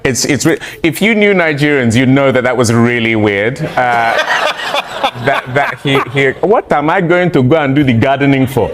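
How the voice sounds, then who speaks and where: Man telling a funny story, very animated pitch, laughing, faint noise. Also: moderate pace. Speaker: guest. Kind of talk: comedic storytelling.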